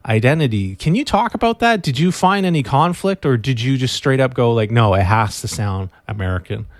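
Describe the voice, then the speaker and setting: Male podcast host, mid-pitched, halting delivery, dry close recording. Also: no room sound. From Montreal, Canada. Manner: hesitant, many restarts, animated when making a point. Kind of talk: casual interview talk.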